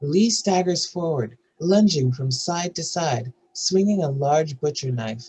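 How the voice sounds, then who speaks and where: Husky, highish-voiced male screenplay narrator. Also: expressive, a little slow, a little mellow. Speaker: narrator reading stage directions.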